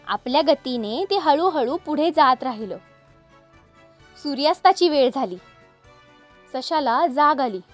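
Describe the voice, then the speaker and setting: High-voiced woman telling children's stories. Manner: expressive, addresses young listeners directly. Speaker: children's storyteller. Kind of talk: scripted fable narration for children.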